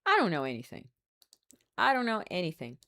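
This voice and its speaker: A woman's voice: lowish voice, huge pitch swings, brisk, sharp tone. A woman from Brazil, hosting a live stream in casual, candid chat, smoking a cigar as she talks and hedging with fillers. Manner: chatty and candid, hedging with fillers, laughs often; smoking a cigar while talking. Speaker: livestream host.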